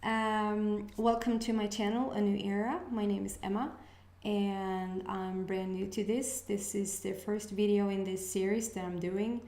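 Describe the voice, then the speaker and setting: A woman with a Swedish accent, a native Swedish speaker, doing a casual intuitive reading to camera as a channel host. She talks in a hesitant, musing way and pauses to sense messages. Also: mid-range voice, level tone, a little mellow, roomy sound. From Sweden. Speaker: astrology reader, channel host.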